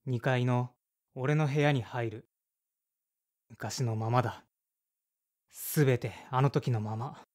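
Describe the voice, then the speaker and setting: Man acting a sullen son, medium-pitched. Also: steady tone, slow, pauses often, a little mellow, lightly acted. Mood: brooding, nostalgic. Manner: sullen, blunt. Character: resentful 35-year-old son, former teenage rock guitarist. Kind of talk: audio drama dialogue and first-person narration.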